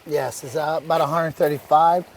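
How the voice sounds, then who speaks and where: A man from Iran, a guest chef, calmly talking through dishes outdoors, step by step with a few fillers. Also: a little high, moderate pace, gravelly, a little hiss or hum. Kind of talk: casual cooking chat. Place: outdoors at a villa table.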